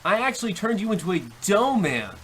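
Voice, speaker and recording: Male actor as comic radio-play devil, bright sharp voice, animated, flustered, hesitant, trickster, rain in the background. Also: high voice, fairly quick, husky, full character voice. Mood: gleeful, scolding. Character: bumbling, nagged trickster devil striking soul deals. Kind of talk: comedic old-time radio play acting.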